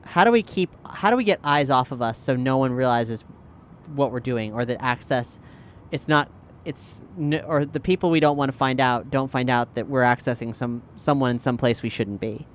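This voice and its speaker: A man's voice: mid-range voice, normal speed, slight background noise. A man talking casually as a tabletop role-playing player, reasoning through plans aloud. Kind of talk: casual game planning chat.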